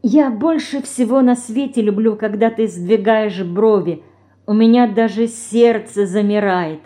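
A woman's voice: medium pitch, a little slow, dark tone, pitched above the performer's own voice, close to an everyday voice. A woman voicing a fiery, impulsive young girl with exclamatory delivery in a storybook reading. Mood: tender. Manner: impetuous, exclamatory. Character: fiery, impulsive young girl, tender yet quick-tempered.

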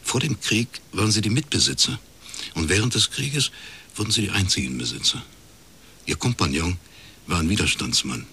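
An actor playing a shrewd, dry-witted private detective in a radio crime drama, laconic and deadpan. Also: male voice, low-pitched, moderate pace, husky, full character voice. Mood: dry, knowing. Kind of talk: naturalistic German radio crime drama acting.

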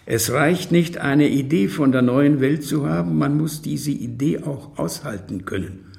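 An actor in a radio drama playing a bitter, brooding old communist haunted by guilt, speaking in a solemn, weighty way with a gravelly, husky voice. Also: male voice, a little high, a little slow, darkish tone, no echo, heavily acted. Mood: defiant, bitter. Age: older. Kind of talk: radio drama acting with monologue.

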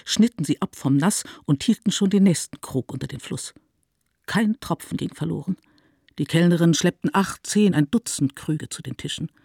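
A man's voice: a little high, darkish tone, slightly rough, dry recording, clean studio sound. A man narrates a literary radio play with rhythmic, emphatic phrasing.

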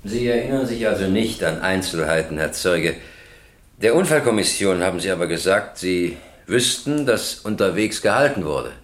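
An actor playing a stern, sceptical presiding judge in a radio play, formal and probing with dry irony. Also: male voice, deep voice, expressive, moderate pace.

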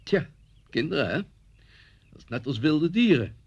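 Very husky, mild old schoolmaster. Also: male voice, on the high side, big pitch swings, normal speed, darkish tone, full character voice. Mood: resigned, dismissive. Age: older. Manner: hesitant, musing, dismissive. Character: mild, forgetful old village schoolmaster, evasive and resigned. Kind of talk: Dutch radio play dialogue. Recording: dull lo-fi radio play recording.

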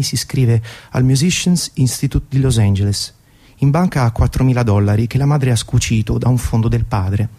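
A man tells a scripted story as a radio presenter. His voice is mellow and soft-edged, sitting at an ordinary male pitch. The delivery is that of a narrator guiding listeners through music.

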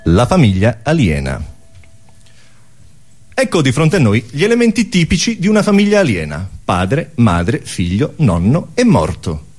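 A man performing scripted absurdist theatre with a mock-solemn, deliberate, declamatory delivery. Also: mid-range voice, wildly swooping pitch, darkish tone. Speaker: stage performer.

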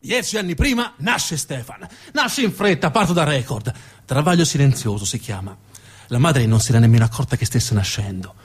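A man narrating a theatrical monologue as a stage actor, dramatic, with emphatic repetitions and deliberate pauses. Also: mid-range voice, lively intonation, slightly rough. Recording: music behind the voice.

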